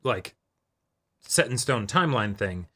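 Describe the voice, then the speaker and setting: A man's voice: on the low side, lively intonation, a little slow, bright, forceful tone. A man co-hosting a reaction show, chatting analytically in a wordy, explanatory way and often checking for agreement. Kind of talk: casual analytical chat.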